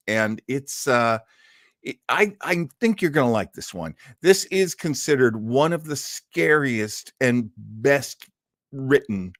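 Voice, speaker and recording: A man hosting an old-time horror radio show in casual, halting, informal chat, often correcting himself. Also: mid-range voice, dry recording, studio quality.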